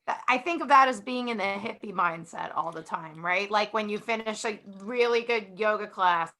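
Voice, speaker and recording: A woman's voice: mid-range voice, moderate pace, pushed and bright. A woman chatting casually and candidly as a guest in a group podcast, speaking informally.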